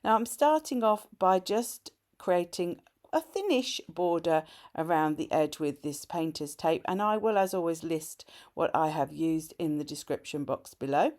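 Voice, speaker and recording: A middle-aged woman with a slightly hoarse voice from a cold, narrating a craft tutorial voice-over in a chatty, explanatory way with small self-corrections. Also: on the low side, unhurried, darkish tone. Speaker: art journaling creator.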